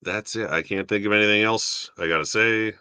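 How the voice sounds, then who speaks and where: A young man with a deep voice casually rambling about awards results, self-deprecating and chuckling now and then. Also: fairly quick, sharp tone, smooth, no room sound. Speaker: YouTube film awards commentator. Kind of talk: casual solo commentary.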